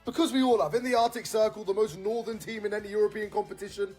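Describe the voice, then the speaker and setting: A speaker in an excited football explainer, enthusiastic and laughing between phrases. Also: mid-range voice, quick pace, slightly rough, soft background music. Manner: enthusiastic, laughs mid-sentence.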